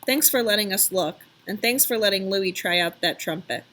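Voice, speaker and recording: A woman's voice: mid-range voice, normal speed, fairly bright tone, close to an everyday voice. A woman voicing a protective, shrewd male character in a children's storybook, speaking in a plain, polite, canny way. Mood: shrewd bargaining. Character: Alex, protective, shrewd male friend bargaining for Louis.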